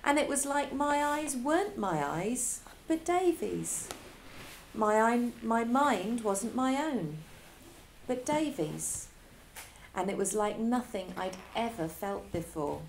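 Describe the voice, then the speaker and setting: A female teacher reading a children's story aloud, with expressive delivery and dramatic pauses. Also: on the high side, slight background noise.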